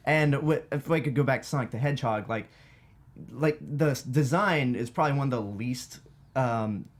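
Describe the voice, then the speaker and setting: A man with an average-pitched voice in a casual review discussion. He explains his opinions conversationally, often restarting a phrase before getting to the point. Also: normal speed. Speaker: film reviewer on a panel.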